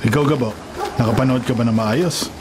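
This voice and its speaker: Guy playing a kind filipino grandpa carpenter, earnest and welcoming, mid pitched, radio drama. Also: a little fast, background noise, close to an everyday voice. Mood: gentle, earnest. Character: kindly, devout carpenter grandfather raising a young child.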